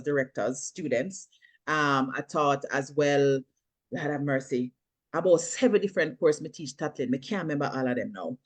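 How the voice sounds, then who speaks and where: A middle-aged woman with a Jamaican accent, chatting animatedly as an interview guest, laughing often and slipping into patois. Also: low-pitched. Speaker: filmmaker and actress, guest. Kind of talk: casual interview chat.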